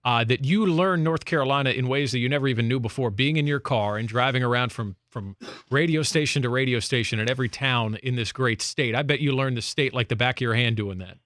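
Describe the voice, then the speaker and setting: Male radio host, mid-pitched, enthusiastic interviewer style, very dry studio recording. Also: a little fast, fairly bright tone, no room sound. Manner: enthusiastic, gushing. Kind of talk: live sports radio interview.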